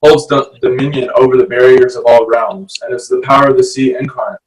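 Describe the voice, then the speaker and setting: A man's voice: mid-range voice, steady tone, a little fast, distant mic, lightly acted, poor audio. An actor at a table read playing a stern, grumpy fish-man guardian with a dry, exasperated manner.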